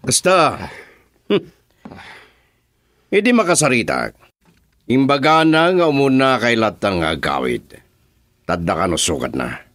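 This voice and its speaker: A man's voice: medium pitch, sing-song, unhurried, long pauses, a bit bright. A man playing a menacing crime boss. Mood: scheming. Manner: threatening, commanding. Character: menacing male crime boss, commanding and threatening. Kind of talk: radio drama acting.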